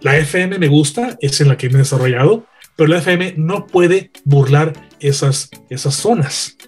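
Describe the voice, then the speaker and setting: A man with a Mexican accent giving earnest, measured, eloquently phrased commentary as a broadcaster on a panel. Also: on the high side, animated, husky, soft background music. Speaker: radio broadcaster, panel guest.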